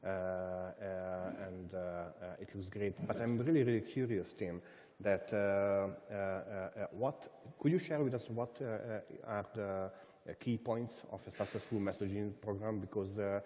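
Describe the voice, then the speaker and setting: A man's voice: lowish voice, fairly even intonation, unhurried, mellow. A man on a conference panel, speaking hesitantly with frequent filler sounds and non-native phrasing. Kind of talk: panel discussion. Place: conference hall stage. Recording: hall reverb from conference stage.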